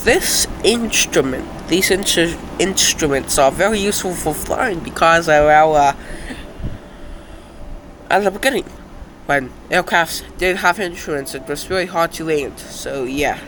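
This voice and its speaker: A young man with a stuffed-up, sick-sounding voice, rambling casually as he reacts to videos at his computer. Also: fairly high pitch. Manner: rambling, halting, uneven loudness. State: stuffed up from a cold. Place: indoors at a computer. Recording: noisy home recording.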